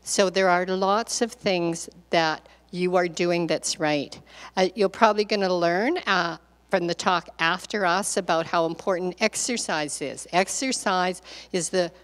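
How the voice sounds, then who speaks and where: An older woman speaks to an audience as a care partner, earnest and encouraging, coming back to her key points. Her voice sits somewhat low. She holds a microphone, and the sound rings with the long reverb of a big meeting hall. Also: measured pace. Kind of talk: panel talk, advice to audience. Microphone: handheld microphone.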